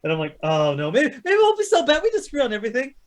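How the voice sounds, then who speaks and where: Male co-host, high voice with big swings, exasperated, argumentative, sweary casual rants. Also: huge pitch swings, rapid-fire. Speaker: co-host of a video game movie chat show. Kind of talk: casual animated ranting, heavy swearing.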